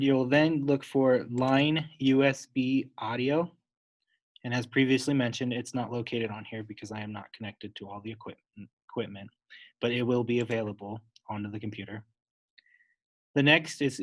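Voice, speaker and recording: A man giving an unscripted, instructional step-by-step software tutorial, talking wordily and correcting himself as he goes. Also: medium pitch, steady tone, moderate pace, poor audio. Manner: instructional, wordy, with self-corrections.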